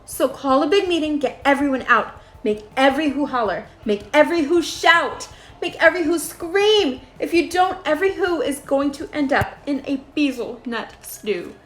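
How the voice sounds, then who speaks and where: Gentle big male elephant played by a woman, high voice, earnest, imploring, loyal, children's storybook read-aloud. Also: animated, moderate pace. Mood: urgent pleading.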